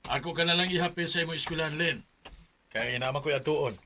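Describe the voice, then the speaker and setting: A man's voice: on the high side, fast talker, sharp tone, slight background noise. A man playing a coaxing, generous middle-aged stepfather in a radio drama, with a Filipino accent. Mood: offering, insistent.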